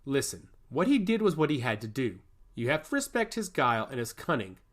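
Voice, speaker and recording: Casting: male narrator as a smug fantasy emissary and teasing schemer, theatrical, with lively swooping pitch. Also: a little fast. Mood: insistent. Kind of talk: fantasy audio story character.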